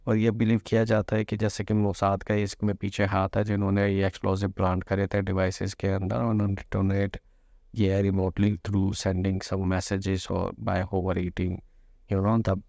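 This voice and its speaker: A man explains the news in an informal way. His voice sits low with a strong gravelly creak and stays fairly even in tone. He drops English terms into his commentary as he goes. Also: slow pace, dry recording, clean studio sound. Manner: mixes Hindi with English terms.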